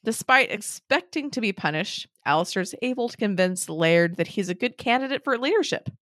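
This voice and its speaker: A woman's voice: mid-range voice, lively intonation, fairly quick, fairly bright tone, no room sound, studio quality. A young woman co-hosting a casual podcast, talking loosely with laughs and swearing, her voice still a little off from a cold. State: recovering from a cold, voice a bit off. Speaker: podcast co-host. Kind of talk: casual chat.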